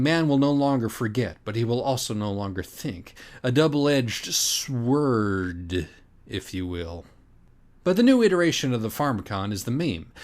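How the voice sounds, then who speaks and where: Man performing a sardonic young know-it-all, obsessive philosophy monologue, mid-pitched, highly animated intonation, rhetorical emphasis, muttered asides. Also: lightly acted. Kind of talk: dramatic reading of a comic monologue.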